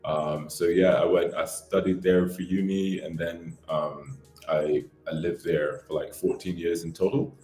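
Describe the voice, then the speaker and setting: A man in his thirties from Barbados, a podcast guest telling his life story in a relaxed, rambling way with lots of fillers. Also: sits low, measured pace, few pauses, reverberant, faint music behind. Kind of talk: casual interview chat.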